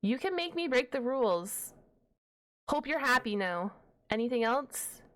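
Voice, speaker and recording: A woman playing a shy, high-voiced half-dragon librarian. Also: very animated, fairly bright tone, full character voice. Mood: grudging. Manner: flustered, halting, self-correcting, playfully indignant. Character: shy, nerdy young half-dragon librarian, flustered and huffy. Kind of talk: ASMR roleplay, one-sided dialogue to the listener.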